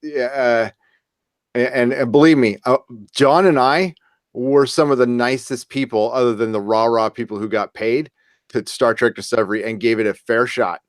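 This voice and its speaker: A man's voice: medium pitch, fairly even intonation, normal speed, no echo, studio quality. A man hosting a podcast, talking casually and informally about fandom. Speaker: podcast host. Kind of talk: casual fan podcast discussion.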